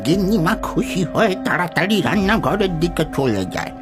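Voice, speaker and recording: A male narrator tells a scripted story in a fairly high voice. His delivery is lively and varied in pitch. Music plays behind him. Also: normal speed.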